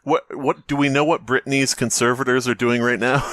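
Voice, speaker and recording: A man's voice: mid-range voice, level tone, normal speed, fairly bright tone, dry recording. A man co-hosting a podcast, jumping in with jokey quips and casual banter. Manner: quipping, interjecting. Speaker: podcast host.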